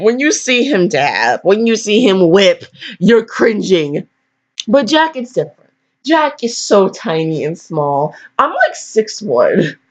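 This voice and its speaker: A teenage girl casually reading aloud and rambling off on goofy, self-deprecating asides. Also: mid-range voice. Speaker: fan fiction reader. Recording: dull lo-fi microphone.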